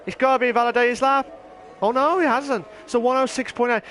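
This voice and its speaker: Man, high voice, live sim race caller, excitable, slightly hoarse, faint hum behind. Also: brisk. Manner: rambling, excitable, bursts into shouting. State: voice going hoarse. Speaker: sim racing league commentator. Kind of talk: live esports race commentary.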